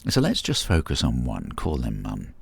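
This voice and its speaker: An actor playing an eager, theorising man in an audio drama, explaining emphatically in a gravelly, husky voice. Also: extremely low pitch, huge pitch swings, normal speed, dry recording, exaggerated voice. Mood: musing, persuasive. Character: David, eager theorising man lecturing on time travel.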